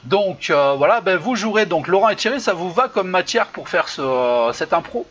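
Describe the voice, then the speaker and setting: A man's voice: sits high, animated, moderate pace, fairly bright tone. A man hosting an online improv show over a video call, hesitant with many fillers and frequent laughs. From Lyon region. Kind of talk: casual live-stream hosting. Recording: dull lo-fi video call audio with faint noise.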